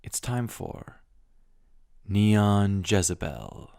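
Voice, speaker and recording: A man playing a moody noir narrator, setting scenes in a scripted audio drama with a measured, atmospheric delivery. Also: big pitch swings, creaky, exaggerated voice. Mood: moody, hushed intrigue. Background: plucked double bass music.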